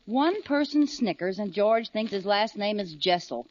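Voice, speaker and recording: A woman's voice: medium pitch, lively intonation, normal speed, lo-fi sound, very noisy, close to an everyday voice. A woman playing a zany, mischievous, talkative American wife in a 1940s radio comedy, on an old recording. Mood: chatty.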